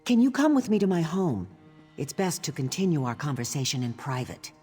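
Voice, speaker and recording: An actress playing a guard captain's worried, discreet wife in a fantasy video game, speaking in an earnest, guarded way. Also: female voice, low-pitched, sing-song, a little fast, mellow, faint music behind. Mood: guarded, urgent. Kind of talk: scripted game character dialogue.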